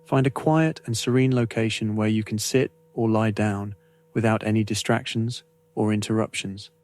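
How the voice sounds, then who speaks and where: A man narrating a scripted guided meditation in a measured, soothing way, with deliberate phrasing and pauses. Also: fairly low pitch, fairly even intonation, darkish tone.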